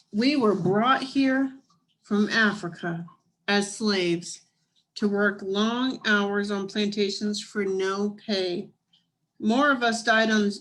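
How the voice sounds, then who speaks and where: A woman voicing a caring, solemn woman guide in a storybook, with a soft, measured manner. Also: mid-range voice, natural voice, rough recording.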